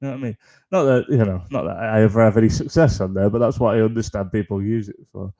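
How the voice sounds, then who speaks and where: A man hosting a live comedy stream, rambling in a flat deadpan tone, with lots of ums, restarts and swearing. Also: fairly low pitch, fast. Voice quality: deadpan, flat-toned delivery. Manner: self-deprecating, frequent ums and restarts, sweary. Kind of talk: rambling stand-up style chat on a live stream.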